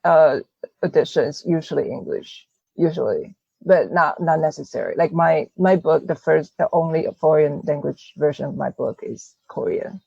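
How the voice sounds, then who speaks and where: Gravelly woman, mellow voice. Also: low-pitched, expressive, no room sound, muffled recording. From Taiwan. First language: Mandarin. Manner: halting, restarts phrases often. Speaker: guest writer. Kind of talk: casual interview chat.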